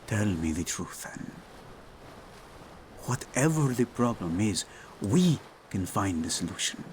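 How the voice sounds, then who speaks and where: A man's voice: lowish voice, sing-song, a little hiss or hum. An actor playing a devoted, teasing king and husband in an intimate romantic audio roleplay, speaking tender endearments. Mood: earnest, soothing. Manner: tender, playful, unhurried endearments.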